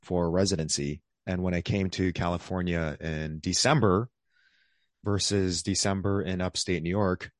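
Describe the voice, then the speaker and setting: A man's voice: low voice, steady tone, normal speed, no room sound, clean studio sound. A man in his thirties, a doctor who was born in South Korea and raised around the United States, chatting as a podcast guest in an easygoing, rambling way with lots of fillers. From born in South Korea, raised across the United States. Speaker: guest, physician. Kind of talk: casual interview conversation.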